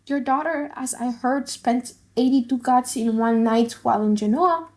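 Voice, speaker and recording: A plain-spoken friend bringing news read by a woman in a fairly high voice. The delivery is matter-of-fact and reporting, part of a dramatised classic play. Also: moderate pace, close to an everyday voice.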